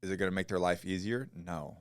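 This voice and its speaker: Male podcast host, very low voice, blunt laid-back advice, dry close mic. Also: fairly even intonation, brisk, clean tone, no room sound. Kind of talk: casual podcast chat.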